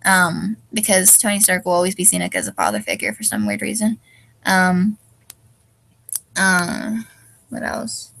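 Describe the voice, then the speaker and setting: A woman's voice: medium pitch, creaky, a bit bright. A young woman with a stuffy, tired voice, rambling casually as a fanfiction reviewer and often trailing off with ums. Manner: hesitant, many ums, loses her train of thought.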